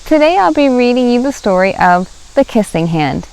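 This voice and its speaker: A woman's voice: a little high, big pitch swings, normal speed, darkish tone, clear, resonant tone, poor audio. A woman in her thirties, a children's librarian, reading and presenting a storytime for young children.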